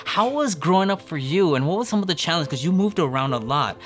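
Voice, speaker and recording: A man's voice: on the high side, fast. A young man who grew up in the United States, hosting a podcast interview and talking straight to his listeners with energy. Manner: energetic, addresses listeners directly. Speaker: podcast host.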